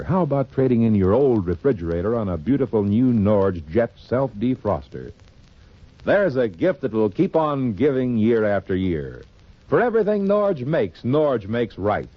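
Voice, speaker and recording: A man's voice: fairly low pitch, sing-song, normal speed. A man announcing a vintage radio show and reading sponsor copy with polished, showy delivery. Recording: dull, noisy old radio recording.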